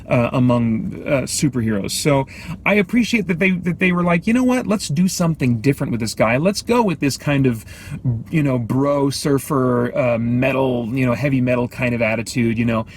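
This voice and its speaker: A man giving a casual, off-the-cuff film review, thinking aloud in a halting, measured way. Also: medium pitch, animated, slight background noise. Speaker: movie review host.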